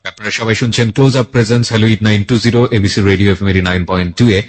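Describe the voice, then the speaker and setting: A man's voice: lowish voice, fairly even intonation, rapid-fire. A man with a Bangladeshi accent hosting a radio interview, probing by putting the guest's account back as questions. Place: radio studio.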